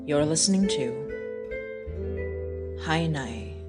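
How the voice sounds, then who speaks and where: Male announcer, scripted podcast ident, slow delivery over prominent guitar. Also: fairly high pitch, expressive. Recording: music behind the voice. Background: plucked guitar music.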